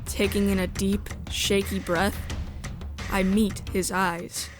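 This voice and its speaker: A woman's voice: medium pitch, level tone, slow, over music, lightly acted. A woman playing a sensitive, resolute outsider who narrates a space drama in the first person. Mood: hesitant, resolute.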